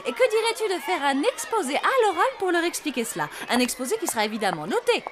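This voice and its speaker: A woman voicing an encouraging schoolteacher in a French animated cartoon, articulate and effusive. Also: high-pitched, fast, background noise. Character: encouraging schoolteacher, praising and gently demanding. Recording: music under the voice.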